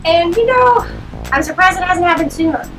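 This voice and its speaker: Someone playing a high-voiced, exasperated little sister. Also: animated, moderate pace. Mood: smug, matter-of-fact. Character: prim, exasperated clever little sister Gretel. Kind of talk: comic fairy-tale sketch acting. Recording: echoey, distant, loud music over voice.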